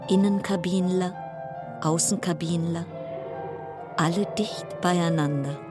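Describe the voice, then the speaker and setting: An actress playing a haunted woman ship passenger in a literary radio drama monologue, lyrical and measured, repeating her phrases. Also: on the low side. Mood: eerie, measured. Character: haunted woman passenger recalling a deadly cruise ship voyage.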